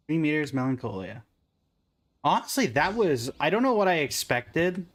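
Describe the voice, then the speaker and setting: A man's voice: on the high side, fairly bright tone. A man streaming a game with casual, musing commentary, thinking aloud as he plays.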